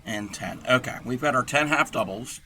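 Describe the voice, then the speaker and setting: A man with a hoarse, scratchy voice who talks through a crochet tutorial in a rambling, chatty way and clears his throat often. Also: mid-range voice, animated, moderate pace, sharp tone. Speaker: crochet livestream host.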